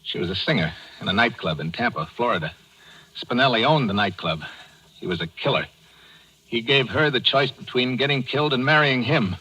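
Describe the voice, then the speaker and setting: Tough American fall guy, medium pitch, bright forceful tone, slangy, terse, vintage radio noir. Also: expressive, brisk, slightly rough, poor audio. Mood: weary, sullen. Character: hard-boiled American driver framed for murder. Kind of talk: 1940s radio noir narration and acting. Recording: old radio broadcast with music.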